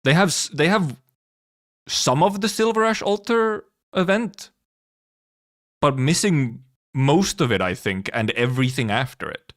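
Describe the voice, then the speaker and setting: A man live streaming in casual chat, rambling and haltingly thinking aloud, with some offhand swearing. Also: on the high side, animated, fairly bright tone. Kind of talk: casual chat, reacting to viewers.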